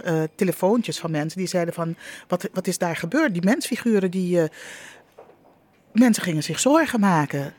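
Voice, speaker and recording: Female interview guest, unscripted delivery, halting, with emphatic repetition. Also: lowish voice, darkish tone. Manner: halting, repeats words for emphasis. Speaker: interview guest, monument project leader. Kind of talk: spontaneous interview answer.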